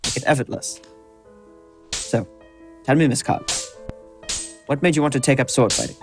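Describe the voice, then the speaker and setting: An actor playing a seasoned sword instructor in an audio drama, dry, blunt and patient, with a measured teaching manner. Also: male voice, mid-range voice, expressive, soft background music, natural voice. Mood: firm, instructive.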